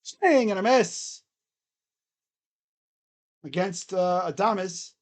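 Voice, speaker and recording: A casual baseball play-by-play streamer with a naturally booming, loud delivery. The host is relaxed and talky, filling the gaps between pitches with chatter and idle humming. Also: drawn-out words. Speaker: live-stream baseball commentator. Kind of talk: casual live play-by-play reaction.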